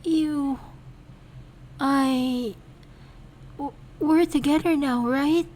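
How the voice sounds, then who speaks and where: A woman's voice: sits high, steady tone, extremely slow, voice pushed up. Woman voicing a shy, puppyish young woman. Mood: bright. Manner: soft, hesitant, stammering.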